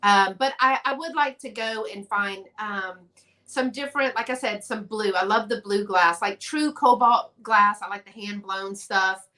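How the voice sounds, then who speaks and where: A woman, a guest on a casual live chat, talking with rambling enthusiasm in quick bursts over a video call. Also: mid-range voice, pushed and bright.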